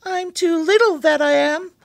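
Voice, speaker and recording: A woman's voice: moderate pace, gravelly, no room sound. A woman voicing the tiniest young billy goat in a storybook, with a small, meek, pleading voice. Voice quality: small, high voice. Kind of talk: storybook character voice.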